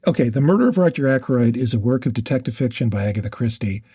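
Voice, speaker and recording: Husky, mellow man chatting on a podcast. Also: medium pitch, expressive, fast talker, dry recording, studio quality. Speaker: podcast co-host. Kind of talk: casual book discussion with researched facts.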